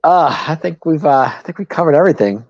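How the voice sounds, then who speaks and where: A man's voice: a little high, expressive, fast, a little mellow, no room sound. A man co-hosting casual sports chat, easygoing and amused, with hesitant phrasing. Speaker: sports show co-host. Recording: dull lo-fi microphone sound.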